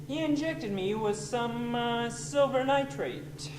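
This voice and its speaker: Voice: medium pitch, slow, lightly acted. An actor playing a grumpy, sardonic wereman on the witness stand, dry and impatient, in a radio comedy. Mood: matter-of-fact. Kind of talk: old time radio comedy acting. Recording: echoey room with clear noise.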